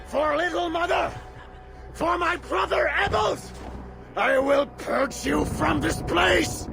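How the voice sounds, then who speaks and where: An actor playing a vengeful, fanatical bishop with a gravelly voice in a video game. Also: male voice, extremely high pitch, slow pace, exaggerated voice. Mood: wrathful. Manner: righteous, menacing, declamatory. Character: vengeful fanatical bishop, zealous warrior of God. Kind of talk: dramatic video game acting. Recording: dramatic music behind the voice.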